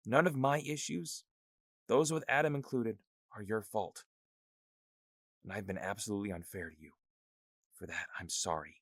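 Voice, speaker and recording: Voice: mid-range voice, moderate pace, pauses often, fairly bright tone, no echo, studio quality. A performer playing a resentful, hot-headed young male ranger in a fan audio drama who lashes out at others. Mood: apologetic. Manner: resentful, lashing out. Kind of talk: amateur audio drama acting.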